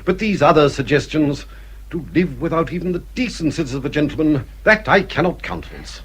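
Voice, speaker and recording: An actor playing a vain, snobbish middle-aged English baronet in a radio drama, pompous and fussy. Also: male voice, fairly high pitch, very animated, brisk, slightly rough. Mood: indignant.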